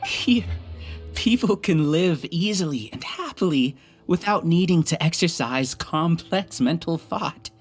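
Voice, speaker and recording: An actor playing a smug, persuasive villainous researcher in a video game, with a condescending, grandiose way of talking. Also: male voice, fairly high pitch, expressive, a little slow, soft background music. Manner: smooth-tongued, condescending, grandiose.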